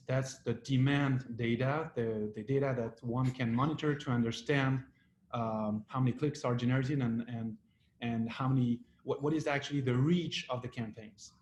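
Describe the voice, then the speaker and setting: A man from France with a French accent, giving a business presentation to an online audience, halting often with fillers and restarts. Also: mid-range voice, a little mellow. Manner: hesitant, many fillers and restarts. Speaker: company founder giving a webinar talk. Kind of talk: presentation with slides. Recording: roomy echo with faint ambient noise.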